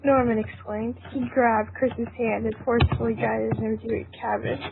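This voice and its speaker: A woman's voice: on the high side, little pitch movement, normal speed. A woman reading scripted narration for an amateur fan fiction audio drama. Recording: tinny phone-like audio, clearly noisy.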